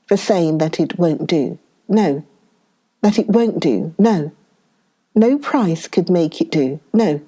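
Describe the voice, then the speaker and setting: A stiff, stubborn storybook servant woman, voiced by a woman reader, blunt and emphatic, slow and mellow. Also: on the low side.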